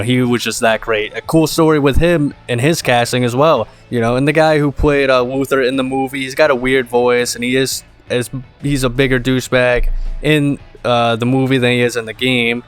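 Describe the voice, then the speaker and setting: Casting: young male gaming host, smooth clear voice, rambling fan commentary, faint music behind. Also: medium pitch, fairly bright tone.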